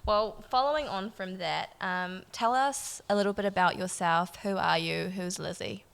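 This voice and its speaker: A woman's voice: medium pitch, moderate pace, gravelly, a bit bright, clean tone. A woman hosting a podcast interview, encouraging, asking friendly questions and nodding along with short "yeah"s. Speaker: podcast host. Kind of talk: casual interview.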